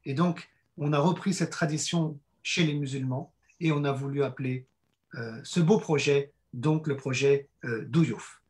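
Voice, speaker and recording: A hoarse man giving an earnest fundraising presentation, halting with frequent fillers and restarts. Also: a little high, darkish tone. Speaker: project presenter.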